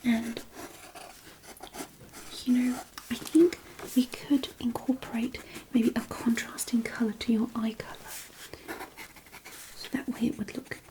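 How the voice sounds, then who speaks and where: A woman playing a kindly, chatty fairy craftswoman in a fantasy ASMR roleplay, softly whispering in a nurturing, slightly hesitant way. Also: fairly high pitch, level tone, measured pace, long pauses, natural voice, poor audio. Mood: attentive, kindly.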